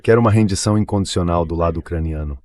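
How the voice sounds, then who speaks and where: Deep-voiced man giving commentary. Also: quick pace, a little mellow. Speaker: interview guest commentator. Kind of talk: conversational commentary, translated voice-over read by AI.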